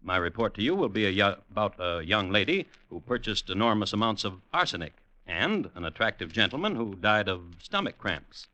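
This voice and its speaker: A dry, animated-pitched crime storyteller. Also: male voice, brisk, no echo, rough recording. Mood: dryly formal. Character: dry, formal male true-crime storyteller and host. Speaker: program host and narrator. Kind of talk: 1950s radio acting, scripted sign-off.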